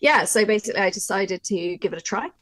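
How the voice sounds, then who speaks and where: A woman's voice: medium pitch, lively intonation, fast talker, fairly bright tone, no room sound. A woman writer chatting informally and encouragingly in a conversational workshop discussion about her craft. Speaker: audio drama writer giving a workshop.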